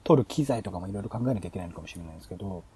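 A man's voice: sits low, expressive, rapid-fire, mellow, breathy edge. A man on a podcast in casual brainstorming talk, explaining his ideas in long, run-on clauses. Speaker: podcast host.

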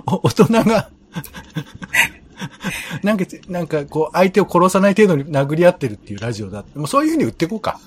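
A man's voice: fairly high pitch, big pitch swings, brisk, long pauses, a little hiss or hum. A man co-hosting a podcast in casual chat, with teasing, wry asides. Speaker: podcast co-host.

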